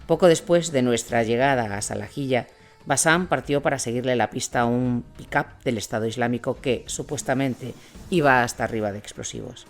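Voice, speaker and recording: A man's voice: mid-range voice, normal speed. A man delivers scripted dramatic narration of a war story. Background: instrumental music.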